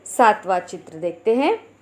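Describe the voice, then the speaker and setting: A woman teaching a Hindi lesson to schoolchildren, slowly explaining idioms and sayings, asking questions and then answering them herself. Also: medium pitch, sing-song, darkish tone.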